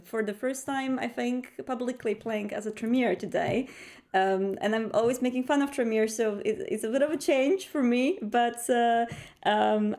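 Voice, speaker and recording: A woman talks casually as a player in a roleplay game. Her voice is a little high, and she feels her way through sentences in a halting manner. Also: a little mellow. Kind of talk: casual chat.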